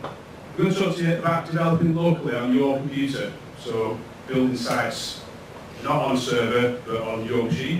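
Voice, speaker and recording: A man in his thirties with a Lancashire accent, giving a relaxed, chatty tech talk to an audience, pausing often with ums. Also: fairly high pitch. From Lancashire, England. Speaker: conference speaker. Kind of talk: tech meetup presentation. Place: event room with audience. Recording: echoey room, distant mic, noisy.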